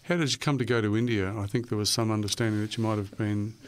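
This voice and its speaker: A male radio interviewer with a lowish voice. He asks short, prompting questions and keeps the guest talking. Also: fairly even intonation, quick pace, clean tone.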